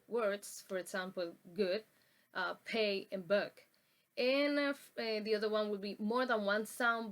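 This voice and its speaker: Halting young woman presenting a lesson. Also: mid-range voice, a bit bright. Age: young adult. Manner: halting, with many ums and uhs and restarts. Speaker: student presenter. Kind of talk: classroom presentation on pronunciation.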